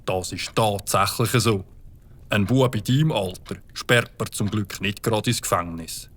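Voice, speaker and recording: A man's voice: lowish voice, moderate pace, a bit bright, a little hiss or hum, close to an everyday voice. An actor playing a stern but fair village policeman in a children's audio drama.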